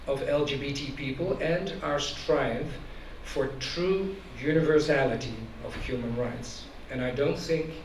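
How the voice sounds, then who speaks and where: Man introducing a speaker in an echoey hall. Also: medium pitch. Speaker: advocacy director introducing a speaker. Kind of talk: event introduction speech. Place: large indoor event venue with stage. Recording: echoey hall from far off, noisy.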